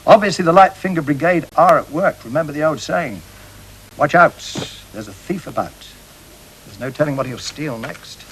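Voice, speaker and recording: A man's voice: a little high, moderate pace, a bit bright, a little hiss or hum, lightly acted, poor audio. A man playing a mock-serious television crime appeal presenter, earnestly delivering puns in a parody broadcast style.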